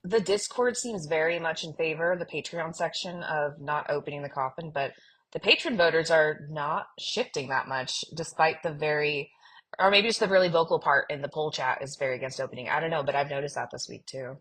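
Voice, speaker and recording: A woman co-hosting a fan podcast, talking casually in an expressive, theatrical manner. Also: low-pitched, fairly quick, slightly rough, poor audio. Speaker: podcast co-host.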